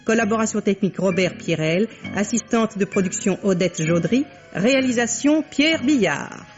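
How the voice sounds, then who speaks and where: A man's voice: high voice, animated, normal speed. A male announcer reading the credits of a 1950s French radio drama. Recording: dull vintage radio recording over music.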